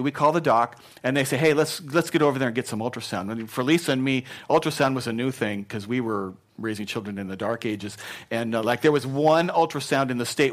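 Middle-aged pastor guy preaching, chatty and animated, goes soft and earnest sometimes, mid pitch. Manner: conversational and animated, with jokes and acted quotes; softens to a gentle, earnest tone when encouraging. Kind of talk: sermon. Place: church.

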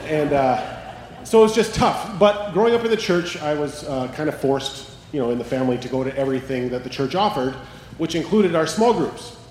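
A man's voice: fairly high pitch, animated, normal speed, darkish tone, slight background noise. Man with a Canadian accent in a reverberant church. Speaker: ministry coach. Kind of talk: co-teaching a sermon. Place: church sanctuary. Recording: echoey hall, faint ambience.

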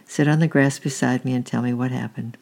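A calm, devout traveler speaks gently and earnestly, as a man reads him aloud. The voice is gravelly, and his pitch stays flat and level. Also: fairly quick, natural voice. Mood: gentle earnest.

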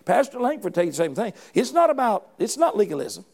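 A man's voice: high voice, sing-song, fast talker, darkish tone. A middle-aged man preaching a sermon in a fervent, folksy way, often restarting phrases and calling out "come on.".

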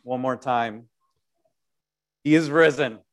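A man preaching an Easter sermon as pastor, animated, moving between loud proclamation and quiet, self-deprecating conversational asides. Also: mid-range voice, takes it slowly. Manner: animated preaching with wide swings in loudness.

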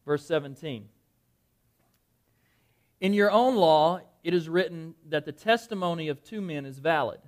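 A man's voice: fairly high pitch, measured pace, long pauses. A man preaching an interactive sermon to a live audience in a dim room, talking conversationally, quizzing listeners and often repeating words. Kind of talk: interactive Bible-study sermon.

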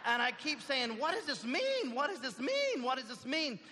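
An excitable, rambling apostle-fisherman who thinks out loud and bounces from thought to thought, as a man plays him in a comic stage skit. His voice sits high and bright and swings widely in pitch. It rings through a reverberant hall over faint background noise. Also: normal speed, exaggerated voice. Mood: chatty. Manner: rambling, talks to himself out loud, playful.

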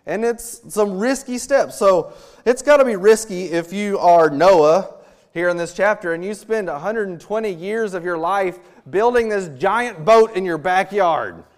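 A man preaching a sermon as a missionary, animated and acting out little scenes with humor. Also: sits high, a little slow. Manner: animated, acts out quotes with humor.

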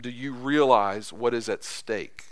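A man preaching a sermon in a church, impassioned, his voice climbing high as he acts out quotes, then dropping low and soft. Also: unhurried. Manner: impassioned, voice rising high when acting out quotes. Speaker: pastor.

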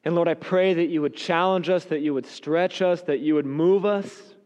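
A man preaching a sermon, his voice raspy and hoarse because he is losing it, speaking in an earnest, emphatic cadence. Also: on the high side, steady tone, moderate pace, a little mellow. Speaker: pastor. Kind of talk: sermon with scripture reading and prayer. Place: church sanctuary. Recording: long hall reverb.

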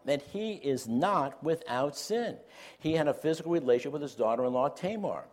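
A male preacher delivering a sermon in an earnest, conversational way, sprinkling in small jokes. His voice sits in an ordinary middle pitch, and he often pauses and restarts his phrases as he builds his points. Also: a little mellow. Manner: earnest, with light humor and frequent fillers and restarts.

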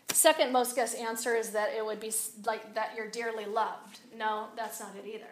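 Raspy-voiced woman telling stories. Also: mid-range voice, noisy background. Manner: animated, confiding, rises and drops a lot. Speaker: speaker at a women's event. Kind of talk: personal storytelling talk.

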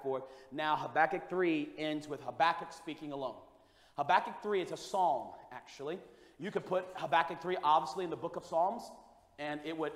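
High-voiced preacher in an echoey church hall. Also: male voice. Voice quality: loud voice. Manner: builds from quiet storytelling to shouted preaching. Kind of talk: sermon with storytelling. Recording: echoey hall with long reverb.

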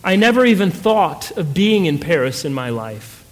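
A weary, disillusioned middle-aged wife from a story reading, acted out by a man. The voice is high and exclaiming, with folksy plain speech. It rings with long reverb in a hall. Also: sing-song, moderate pace, soft background music. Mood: tearful, upset.

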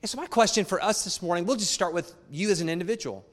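A man's voice: high-pitched, fast, long reverb tail. A man preaching a sermon to his congregation, emphatic and conversational, repeating key phrases and turning to the crowd with asides. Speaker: pastor. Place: church sanctuary.

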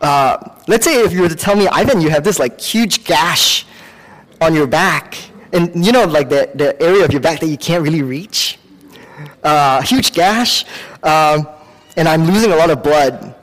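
A man from Singapore with a Singaporean accent preaches in a conversational, halting way, weaving in personal anecdotes and correcting himself as he goes. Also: fairly high pitch, animated, fairly bright tone. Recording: long hall reverb.

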